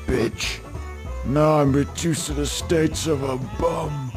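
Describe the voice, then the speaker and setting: A man's voice: on the high side, steady tone, takes it slowly, music in the background. A man playing a crude, whining bee king reduced to begging, in a comic video game. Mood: bitter. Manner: crude, complaining, wheedling. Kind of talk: video game cutscene acting.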